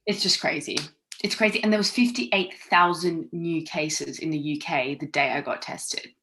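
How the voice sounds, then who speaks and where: A woman's voice: low-pitched, steady tone, normal speed, fairly bright tone, a little hiss or hum, low-quality recording. A woman in her thirties from Australia, a podcast guest chatting casually with lots of fillers and restarts. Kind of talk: casual chat. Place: home in London.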